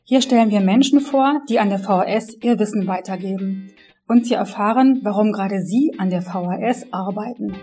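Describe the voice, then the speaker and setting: Woman announcer over soft guitar music. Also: medium pitch, normal speed. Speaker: podcast intro announcer. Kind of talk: scripted intro narration. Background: plucked guitar music.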